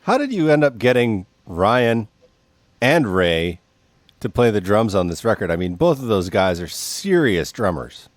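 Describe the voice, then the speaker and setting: A man's voice: fairly low pitch, measured pace, dry recording. A man hosting a podcast, asking questions and telling stories with laughter. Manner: animated, laughing storyteller. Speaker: podcast host. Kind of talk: casual interview chat.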